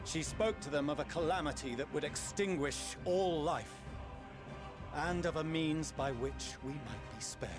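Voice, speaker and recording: An actor playing a dignified, earnest scholar-statesman in a fantasy game, giving grave, deliberate exposition in formal language. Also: fairly high pitch, over music, lightly acted. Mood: grave revelation.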